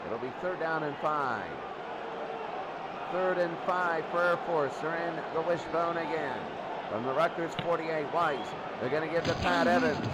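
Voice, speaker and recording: Higher-voiced man calling football, very noisy recording. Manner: talks in short clipped bursts, repeats himself. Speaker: play-by-play sports announcer. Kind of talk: live American football commentary. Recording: very noisy ambient amateur recording.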